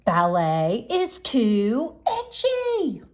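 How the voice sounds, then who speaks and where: A woman voicing a grumpy, stubborn little girl in a read-aloud picture book, drawing out her protests slowly and whining. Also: a little high, huge pitch swings, darkish tone, full character voice. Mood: whiny. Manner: whiny, drawn-out protesting.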